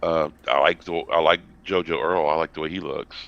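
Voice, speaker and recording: A man's voice: a bass voice, fairly even intonation, brisk, gravelly, a bit bright, highs cut off. A man with a Southern accent, co-hosting a casual sports podcast and ranting bluntly about his team. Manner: blunt, ranting, self-interrupting. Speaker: podcast co-host and football analyst.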